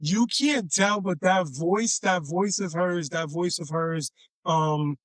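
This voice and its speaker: A man from Chicago with a raspy voice, a podcast guest telling stories in a laid-back, playful way and often repeating his phrases. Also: high-pitched, takes it slowly, a bit bright, dry recording, studio quality. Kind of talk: casual storytelling chat.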